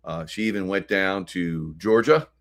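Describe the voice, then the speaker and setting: A man with an American accent and a low voice talks through political commentary as a host. His delivery is halting and rambling, clearly unscripted. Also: a bit bright. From United States.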